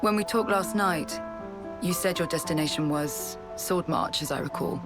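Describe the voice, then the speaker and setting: An actor playing a calm, authoritative colony leader in a video game, speaking in a measured, formal and explanatory way. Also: male voice, a little high, over music, lightly acted. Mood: composed, instructive. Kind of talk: scripted video game cutscene acting.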